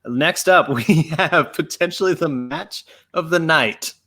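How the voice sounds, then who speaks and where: A man's voice: on the high side, measured pace, bright, forceful tone. A man hosting a casual podcast chat among friends, announcing each matchup and calling on the others by name. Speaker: podcast host.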